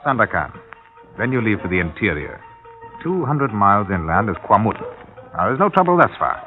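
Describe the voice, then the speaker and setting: A jovial British colonial official in an old radio play. His chatty, hemming delivery swings widely in pitch. The sound is a muffled old broadcast with music. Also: very animated, a little fast. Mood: discouraging. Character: jovial, evasive British colonial company man in the tropics. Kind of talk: 1950s radio drama acting.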